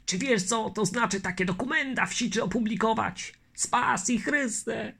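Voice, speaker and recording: A man's voice: sits high, moderate pace, gravelly, pushed and bright, breathy edge, voice pushed up, heavily acted. A man voicing a frightened old colonel. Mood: alarmed. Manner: anxious, pleading, crying to Christ. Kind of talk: audiobook character voice.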